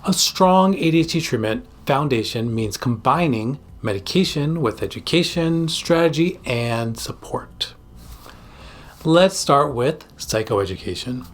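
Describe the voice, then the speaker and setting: A man speaking as a psychiatrist and host, presenting a scripted educational explainer with emphatic, direct address to the listener. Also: fairly high pitch.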